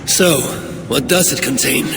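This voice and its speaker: An actor playing a stern, determined Norse warrior god in a video game, speaking tersely. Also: male voice, medium pitch, a little slow, in a large hall. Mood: stern, demanding. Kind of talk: video game cutscene acting. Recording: clear background ambient noise.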